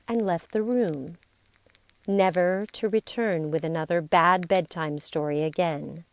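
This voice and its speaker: A woman narrating a scripted story in a deliberate, theatrical way, with dramatic pauses and emphasis. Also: fairly low pitch. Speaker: podcast storyteller.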